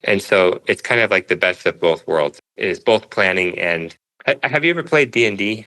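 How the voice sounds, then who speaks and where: A man's voice: fairly low pitch, animated, moderate pace, sharp tone, rough recording. A man speaking as an interview guest, a cartoonist explaining his craft in a thoughtful, conversational way. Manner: thoughtful, explains through analogies.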